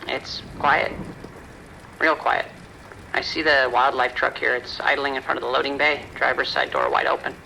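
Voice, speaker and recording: A man plays an uneasy male patrol deputy in an audio drama. The voice is very sharp and forceful with a gravelly edge, delivering terse, tense reports. There is faint rain and radio noise behind it. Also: mid-range voice, little pitch movement, normal speed, poor audio. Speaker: police deputy.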